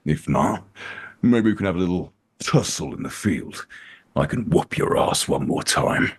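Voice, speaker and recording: A man playing a proud, combative blacksmith from a fantasy video game, gruff and challenging. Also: sits low, animated, a little slow, a little hiss or hum. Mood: cocky, menacing. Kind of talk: video game character acting. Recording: music behind the voice.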